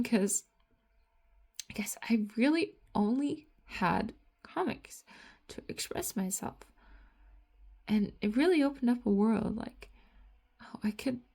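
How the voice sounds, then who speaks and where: Tired, slow-talking Latvian woman. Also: on the high side, slightly rough. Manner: slow, halting, trailing off with frequent fillers and soft laughs. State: tired after a night shift. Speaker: vlogger. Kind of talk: rambling casual monologue.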